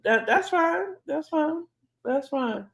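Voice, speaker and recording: A woman co-hosting a podcast, telling stories in an animated way and acting out both sides of conversations. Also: sits high, takes it slowly, creaky. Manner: animated, acts out conversations in quotes. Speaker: podcast co-host. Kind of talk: casual storytelling chat.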